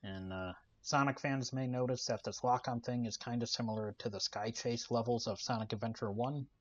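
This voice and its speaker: A soft-spoken man giving casual, relaxed commentary while playing a video game, with lots of "uh" fillers. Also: mid-range voice, brisk, muffled recording. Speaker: gaming channel host. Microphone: desk microphone.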